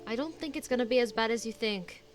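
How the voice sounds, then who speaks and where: A woman streamer reacting to a video game, with excited exclamations and quick reactions. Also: a little high. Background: game music.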